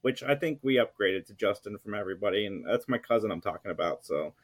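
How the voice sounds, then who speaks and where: A man's voice: lowish voice, expressive, fast talker. A middle-aged man talking casually as a guest on a podcast. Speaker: podcast guest and co-host of a geek podcast. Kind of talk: casual chat.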